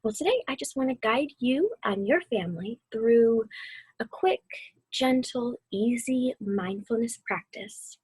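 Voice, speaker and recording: Breathy, soothing woman guiding mindfulness. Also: on the high side, lively intonation, no echo, rough recording. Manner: soothing, unhurried, softly spoken. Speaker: mindfulness guide reading a children's book aloud. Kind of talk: gentle guided meditation and read-aloud.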